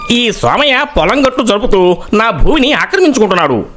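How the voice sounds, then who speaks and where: A man's voice: high-pitched, big pitch swings, fairly quick, fairly bright tone, soft background music. A man voicing a greedy, stubborn village farmer in a moral story, arguing and accusing. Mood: angry, accusing. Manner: accusing, argumentative. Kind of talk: moral-story character acting.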